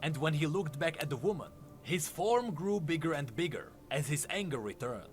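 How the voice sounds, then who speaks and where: Male storyteller for scripted fantasy lore, fairly high voice, dramatic and lively delivery over light music. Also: moderate pace. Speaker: lore narrator. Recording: music under the narration. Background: background music.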